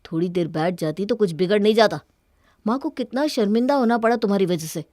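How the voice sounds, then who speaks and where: A woman's voice: mid-range voice, a little fast, dark tone, dry recording, studio quality, natural voice. A woman voicing an earnest, exasperated young husband in a cartoon story, reasoning and reproachful. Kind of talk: Hindi cartoon story dialogue.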